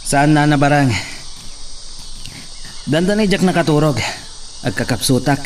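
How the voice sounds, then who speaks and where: A man's voice: on the high side, unhurried, lightly acted. A man playing a troubled, weary young man in a radio drama, brooding and grumbling. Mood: weary, irritated. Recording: faint background noise.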